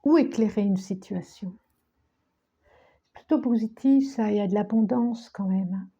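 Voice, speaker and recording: A woman with a cold giving a tarot reading, speaking slowly with many restarts and repetitions, sometimes dropping to a near-whisper. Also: mid-range voice, dark tone, husky, highs cut off. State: has a cold, coughing. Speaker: tarot card reader.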